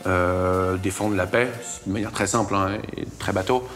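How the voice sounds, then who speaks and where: Low-voiced, measured young man. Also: fairly even intonation, fairly bright tone, faint music behind. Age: 20s. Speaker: interviewee. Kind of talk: documentary interview testimony.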